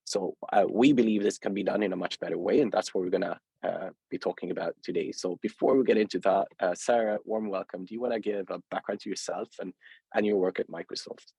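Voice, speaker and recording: A man's voice: deep voice, steady tone, fast, dark tone, husky, no room sound. A man with a Swedish accent hosting a webinar interview, speaking hesitantly with many fillers and restarts. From Sweden. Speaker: host, company founder.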